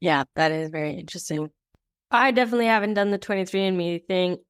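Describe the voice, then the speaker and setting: Smooth-voiced woman podcast co-host. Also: lowish voice, fast, dry recording, clean studio sound. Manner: conversational, questioning, light. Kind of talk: casual interview chat.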